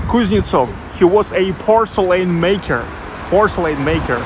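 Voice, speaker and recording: A man's voice: high-pitched, normal speed. A man in his thirties with a Russian accent, guiding a live walking tour outdoors. He speaks English as a second language, loosely, hunting for words and correcting himself as he goes. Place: busy city street outdoors. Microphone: handheld selfie camera mic. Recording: outdoor street with loud traffic noise.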